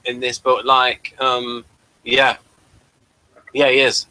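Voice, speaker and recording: A man's voice: medium pitch, monotone, slow, bright, forceful tone, no echo, poor audio. A man hosting a casual review discussion of a TV series, emphatic and given to repeating his words.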